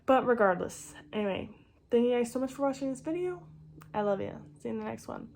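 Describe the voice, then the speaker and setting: A young woman with a slightly raspy voice chatting casually and off the cuff to a phone camera, rambling with lots of filler words. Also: a little high, animated, fast, a little mellow, slight background noise. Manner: rambling, filler-heavy with frequent "like". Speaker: vlogger. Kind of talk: casual impromptu chat to camera.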